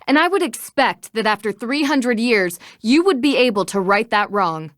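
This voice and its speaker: A righteous young female detective from a mystery game, acted by a woman. Her voice sits fairly high and is dry and studio-close. She speaks with earnest, articulate assertiveness. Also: animated, moderate pace, no echo, close to an everyday voice. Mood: earnest, indignant. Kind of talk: scripted video game voice acting.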